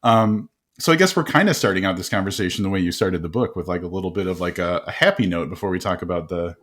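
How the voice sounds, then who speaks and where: A man hosting an interview podcast, telling quick stories in a casual, animated way with frequent laughs and restarts. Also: low voice. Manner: animated storyteller, laughs often, many restarts. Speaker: podcast host.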